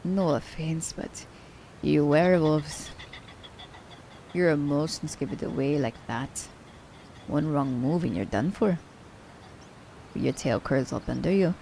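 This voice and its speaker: Woman as playful female assassin, ASMR roleplay: mellow, fry-laden voice, unhurried, fondly teasing. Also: low-pitched, slight background noise, exaggerated voice, poor audio. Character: teasing, worldly female assassin fond of her werewolf captive.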